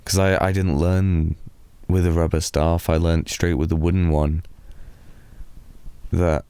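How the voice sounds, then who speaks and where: Slow, deep-voiced British man murmuring. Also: flat delivery, clean tone, no echo. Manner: soft, slow, drowsy storytelling. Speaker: ASMR boyfriend roleplayer. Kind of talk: improvised sleepy ASMR rambling.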